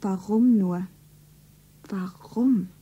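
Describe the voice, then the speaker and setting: Soft, breathy, lovelorn young woman. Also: mid-range voice, drawn-out words, muffled recording. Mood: anxious, hurt. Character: lovelorn, naive young shopgirl. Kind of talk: stylised radio drama, self-narrating.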